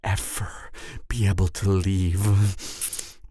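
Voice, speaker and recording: A woman's voice: a bass voice, level tone, extremely slow, mellow, no room sound, full character voice. A woman playing a gleeful, mocking cannibal serial killer in a theatrical audio roleplay, taunting the listener. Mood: scornful, gloating. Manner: theatrical, taunting, frequent cackles and breathy whispers.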